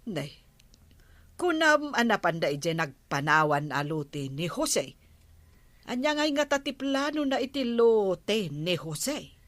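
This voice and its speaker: A calm village matron with an Ilocano accent, asking questions as in a radio drama. She speaks slowly at a medium pitch, in a close, dry sound. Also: no room sound. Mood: inquiring, firm. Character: village captain's wife, calm inquiring matron.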